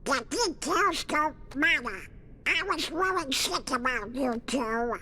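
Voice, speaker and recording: A man's voice: extremely high pitch, expressive, takes it slowly, bright, forceful tone, full character voice. A man voicing a grumpy but loyal cartoon duck in a video game, with a squawky, garbled quack and blustery manner. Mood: gruff affection.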